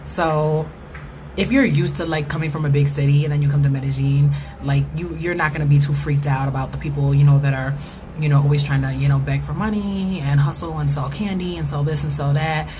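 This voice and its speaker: American woman, low-pitched voice, casual vlog chat, slangy and conversational, clearly noisy ambient background. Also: fairly even intonation, fairly quick. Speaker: vlogger. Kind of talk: casual chatty vlog monologue.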